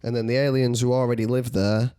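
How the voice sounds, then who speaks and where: A young man in a casual podcast chat, rambling through playful ideas with lots of ums. His voice sits at a middle pitch and sounds dry and close. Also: little pitch movement, quick pace, warm tone, clear, resonant tone, no echo. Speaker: podcast co-host.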